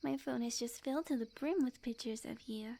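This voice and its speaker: A woman playing a sweet, obsessive witch girlfriend in an ASMR roleplay, speaking softly and breathily in a coy, unhurried, often whispered voice. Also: fairly high pitch, vocal fry. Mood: doting.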